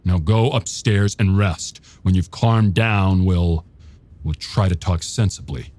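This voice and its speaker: A man reading aloud voices a calm, evasive husband who speaks softly, measured and insistent. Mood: controlling. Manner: soft, low, measured and insistent. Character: calm, evasive middle-aged husband, quietly controlling.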